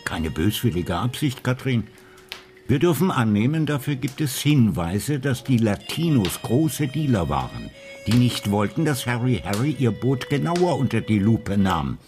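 An actor playing a deliberate older investigator in a radio crime drama, speaking in a measured, analytical way. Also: male voice, medium pitch, very animated, darkish tone, faint music behind.

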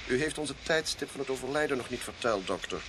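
A brusque, commanding police inspector acted by a Dutch man in a radio play. His voice sits at a middle pitch and speaks with authority. There is faint noise behind him. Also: lively intonation, fast talker, close to an everyday voice. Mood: grave, insistent. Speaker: actor in a radio drama. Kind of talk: radio drama acting.

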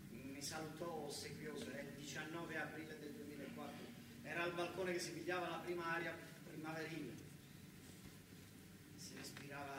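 A man with a southern Italian accent performing a dramatic, colloquial first-person monologue as a factory worker telling his life story, with repeated phrases. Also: a little high. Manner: dramatic, repetitive, colloquial dialect-tinged telling. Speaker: stage actor, storyteller. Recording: very echoey, distant, heavily noisy live recording.

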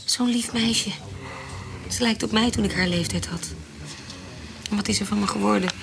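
A woman's voice: medium pitch, normal speed, vocal fry, close to an everyday voice. An actress in a Dutch radio drama playing an anxious, superstitious woman, scheming yet earnest, who claims second sight. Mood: remorseful, earnest. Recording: noisy old radio drama recording.

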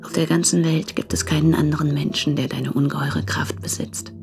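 A woman's voice: deep voice, steady tone, brisk, creaky, a little mellow, faint music behind. An actress playing a frank, reproachful woman challenging her hesitant lover in a literary radio drama, intense and unsparing.

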